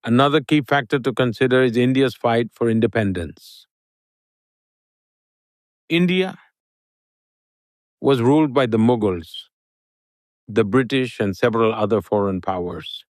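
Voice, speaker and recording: A man giving an interview guest's answers in English, with a steady, formal delivery like a prepared speech. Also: mid-range voice, a little slow, pauses often, no room sound, clean studio sound.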